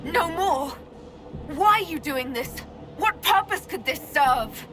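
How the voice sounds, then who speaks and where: An actress playing a defiant, resolute heroine in a fantasy game, speaking directly and urgently. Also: female voice, high-pitched, animated, takes it slowly, in a large hall, over music. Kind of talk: fantasy video game acting.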